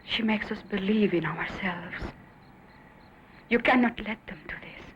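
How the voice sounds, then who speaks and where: A woman's voice: mid-range voice, measured pace, highs cut off, a little hiss or hum, natural voice. An actress playing an earnest, devout village woman in a western drama, solemn, fervent and pleading. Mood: pleading, hushed.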